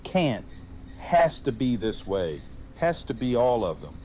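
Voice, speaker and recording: A man's voice: medium pitch, big pitch swings, measured pace, mellow, a little hiss or hum. An actor playing an anguished, grimly fixated male warrior in an audio drama.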